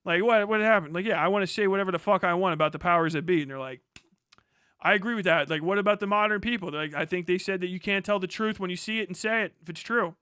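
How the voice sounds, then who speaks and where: An American man delivering an impassioned, profane livestream rant, forceful and emphatic, slipping into imagined dialogue as he goes. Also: sits high, fast, clean tone, no echo, clean studio sound. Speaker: streamer.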